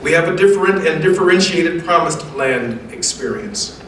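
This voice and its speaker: A fairly high-voiced American man gives a formal speech in a heavily echoing room, picked up from a distance. His delivery is measured and emphatic, in an oratorical style that leans on repeated phrases like a preacher. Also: lively intonation, a bit bright. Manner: measured, emphatic, rhetorical repetition. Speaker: guest speaker delivering remarks. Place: large echoey indoor event room. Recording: very echoey room, distant mic, faint noise.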